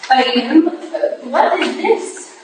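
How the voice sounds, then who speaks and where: In a very echoey hall, far from the microphone, an actress plays an anxious young foreign woman. Her English is halting and stilted, and her voice sits high, as in a Victorian stage drama. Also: expressive, background noise. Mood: nervous, defensive.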